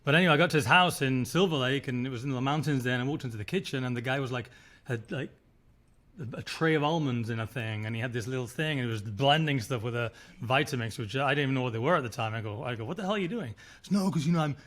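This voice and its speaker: Fast-talking man. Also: mid-range voice, a bit bright. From Spain. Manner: rambling, many restarts and filler words. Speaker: resort founder, podcast guest. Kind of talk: casual interview storytelling.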